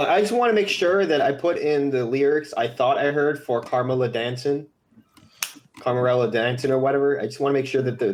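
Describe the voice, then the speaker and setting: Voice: medium pitch, darkish tone, slight background noise. Someone chatting casually as host on an online voice call, rambling and repeating phrases. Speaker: host of a fan comic-dub livestream. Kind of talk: casual group chat.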